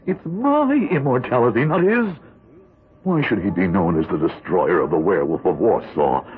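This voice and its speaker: A man's voice: low voice, sing-song, moderate pace. An actor playing a guilt-ridden, vain man narrating his inner thoughts in a theatrical 1970s radio drama. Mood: jealous outrage. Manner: intense, theatrical inner monologue. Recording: lo-fi vintage radio, music behind.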